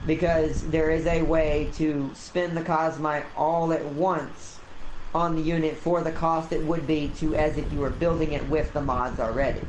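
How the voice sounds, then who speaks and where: A man giving casual gameplay commentary and teaching a co-player, halting and often repeating himself. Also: fairly high pitch. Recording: roomy echo, loud background noise.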